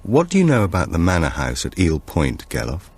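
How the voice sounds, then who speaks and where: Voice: low-pitched, huge pitch swings, fairly quick, a little mellow, slightly rough, exaggerated voice. A man voicing a curious young woman asking questions in an audiobook thriller. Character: curious young woman interviewing an old relative.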